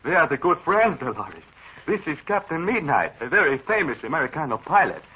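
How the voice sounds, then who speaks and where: A man's voice: fairly high pitch, big pitch swings, a little fast, sharp tone, husky, heavily acted. An actor playing an emotional older father with a stage Spanish accent in a 1940s radio drama. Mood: emotional fatherly. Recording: dull lo-fi old radio broadcast.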